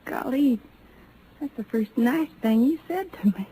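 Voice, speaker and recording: Female lead for a radio Western: a rustic, prickly cowgirl, highish and mellow, on a faintly noisy old recording. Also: lively intonation, measured pace. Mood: shy, awkward. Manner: rustic, ungrammatical, blunt speech. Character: rough-edged, prickly young frontier cowgirl, shyly proud.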